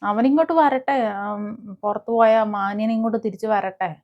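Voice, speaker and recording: A woman hosting a commentary video, talking casually and with strong opinions in a halting, emphatic way. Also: mid-range voice, darkish tone, highs cut off. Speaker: host of a gossip and commentary video channel.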